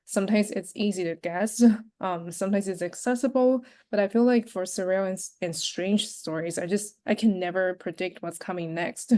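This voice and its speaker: A woman guest on a podcast, speaking softly and casually with many fillers. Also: medium pitch. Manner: soft-spoken, many fillers and restarts. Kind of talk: casual conversation.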